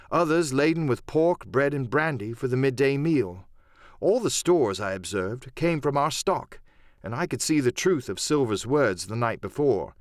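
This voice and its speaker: Male audiobook narrator for adventure fiction: dramatic, very expressive intonation, mid pitch, dry recording. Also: no room sound.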